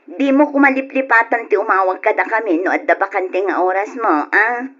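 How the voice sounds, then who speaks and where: A woman playing a bossy but caring older sister in an old radio drama, nagging affectionately in a husky voice. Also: mid-range voice, normal speed, fairly bright tone, highs cut off, natural voice.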